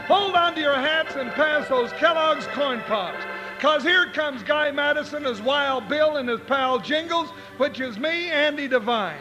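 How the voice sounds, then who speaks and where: A man's voice: unhurried, over music, poor audio. A man playing a jovial, fun-loving cowboy deputy sidekick in a western radio drama, with a folksy drawl and Old West slang. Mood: excited, hearty. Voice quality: high-pitched, folksy voice. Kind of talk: 1950s western radio acting.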